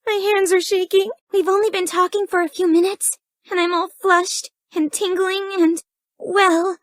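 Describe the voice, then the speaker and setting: A very high, girlish voice in a close, dry recording, playing a shy and bookish young woman from a dating sim. She is earnest and easily flustered, with a hesitant, stammering delivery that keeps her sweetly awkward. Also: flat delivery, normal speed, no room sound. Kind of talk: video game dating-sim voice acting.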